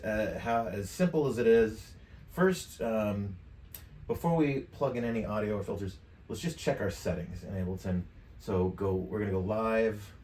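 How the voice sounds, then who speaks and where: Low-voiced man in an echoey room. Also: darkish tone. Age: middle-aged. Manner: informal, thinking aloud while clicking. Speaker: tutorial presenter. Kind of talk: casual step-by-step software tutorial. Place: indoors, home studio room at a computer desk. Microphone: USB microphone at some distance from the mouth. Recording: echoey room with clear background noise.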